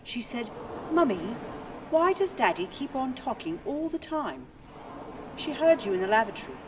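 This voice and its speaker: An actress playing a long-suffering wife in a radio drama, chiding yet detached and matter-of-fact. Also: female voice, on the high side, very animated, normal speed, far from the mic, noisy background. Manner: chiding, questioning. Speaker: radio play actor.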